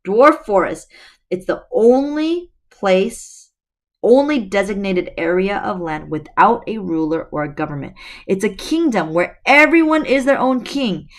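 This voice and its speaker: A middle-aged woman teacher, slightly hoarse, reading a novel aloud to her students with chatty asides, recorded on a laptop webcam at home. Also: mid-range voice, expressive, unhurried. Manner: chatty, addresses students directly. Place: room at home.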